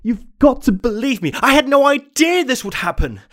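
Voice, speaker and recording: A man playing a desperate, obsessed confessor in a horror story, halting and repeating words. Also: very high-pitched, big pitch swings, a bit bright, voice pushed up, heavily acted. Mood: frantic, desperate. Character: unstable, haunted anonymous confessor on tape, desperate and obsessed. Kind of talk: dramatic first-person horror monologue.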